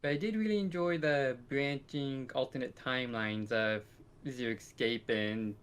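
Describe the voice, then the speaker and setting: A man with a smooth, crisp voice at a middling pitch. He speaks as a podcast co-host, giving his opinions on games in relaxed conversational phrasing. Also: normal speed. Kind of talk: casual podcast chat about games.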